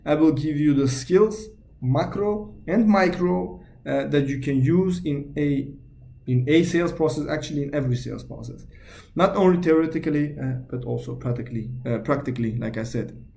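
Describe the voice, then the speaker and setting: A man's voice: a little high, moderate pace, vocal fry, warm tone, slight background noise. A young man from Belgium with a Belgian Dutch accent, a native Dutch and French speaker talking in English, introducing his sales channel in an earnest, hesitant way with many fillers and restarts. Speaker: YouTube sales channel host.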